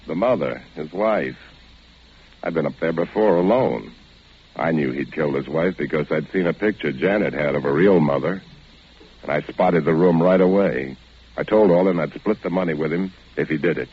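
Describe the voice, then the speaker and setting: A man's voice: very deep voice, moderate pace, breathy edge, full character voice. An American actor playing a bitter, scheming husband who tells his crime story in a clipped 1940s radio drama style. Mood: cynical confessing. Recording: muffled old radio broadcast, loud music behind.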